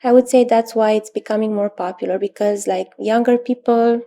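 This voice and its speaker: Gravelly-voiced Romanian woman in her thirties. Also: fairly high pitch, steady tone. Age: 30s. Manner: thoughtful, many pauses and fillers, soft laughs. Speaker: astrologer guest. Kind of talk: interview answers.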